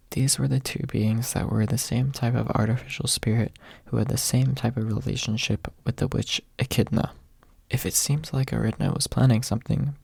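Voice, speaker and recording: A man narrates a story in a soft ASMR manner. His voice is gravelly and husky, sitting at a medium pitch. The sound is close and dry, with very little room in it. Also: dry recording. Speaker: audiobook narrator.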